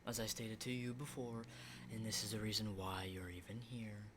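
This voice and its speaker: A man playing an unsettling, lonely, hardened apocalypse survivor in an ASMR roleplay, speaking in a halting, breathy whisper and soft voice. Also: fairly low pitch, little pitch movement, noisy background, lightly acted. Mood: haunted, storytelling. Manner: hushed, halting, repeats words, mild swearing.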